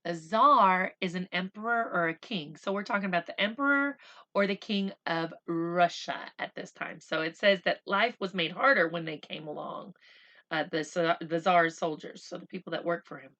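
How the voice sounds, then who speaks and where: A woman's voice: on the low side, lo-fi sound. Woman reading aloud expressively to children. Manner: expressive, slow and deliberate when reading, chatty when explaining; explains words simply, as if to children. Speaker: school reading teacher reading a picture book aloud for children. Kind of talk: read-aloud storytelling with casual explanatory asides.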